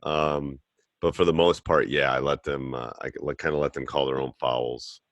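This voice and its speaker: A man with a very low, deep voice talks basketball coaching in a loose, rambling way, like he's chatting on a call. He sounds middle-aged and plain-spoken. The sound is dry and close, with no room around it. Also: fairly even intonation, normal speed, no echo, muffled recording. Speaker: basketball coach and podcast host.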